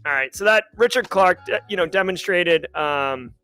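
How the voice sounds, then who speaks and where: A man's voice: high voice, animated, normal speed, sharp tone, faint music behind. A man in his forties hosting a casual livestream, reading chat questions in a rambling, upbeat way and often stopping to correct himself. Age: 40s.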